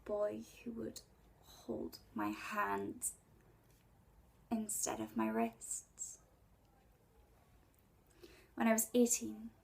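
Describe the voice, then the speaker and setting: A woman in her twenties performing a spoken word poem in a breathy voice, with intimate, deliberate dramatic phrasing. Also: medium pitch. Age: 20s. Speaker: poet. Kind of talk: spoken word poetry recital.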